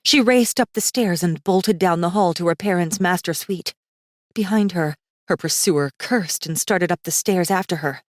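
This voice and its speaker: A female audiobook narrator with a fairly low voice tells a fantasy story dramatically. Her delivery is suspenseful and tense. The recording is close and dry. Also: moderate pace, no echo.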